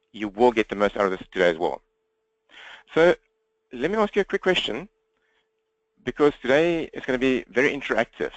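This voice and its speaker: Fast-talking South African man, dry sound. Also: mid-range voice, dry recording, low-quality recording. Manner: energetic, talks fast, direct and upbeat. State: slightly croaky throat. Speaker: webinar presenter. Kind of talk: interactive webinar training. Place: indoors at his computer, standing.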